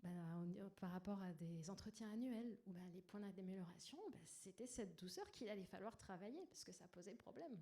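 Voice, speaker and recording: A woman with a soft, gentle voice, speaking to an audience in a personal, lightly self-deprecating conference talk. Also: mid-range voice, lively intonation, a little fast, few pauses. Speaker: conference speaker, agile coach. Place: indoor conference room. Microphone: stage microphone. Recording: noisy live microphone in a talk room.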